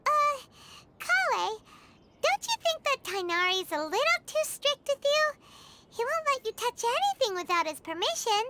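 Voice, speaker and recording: Super high squeaky little fairy mascot from a game, bubbly and all over the place. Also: female voice, heavy background noise. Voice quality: squeaky, childlike. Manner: bubbly, emphatic, refers to self in third person. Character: tiny floating fairy companion, childlike, excitable, food-loving. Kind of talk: video game character acting. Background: game music.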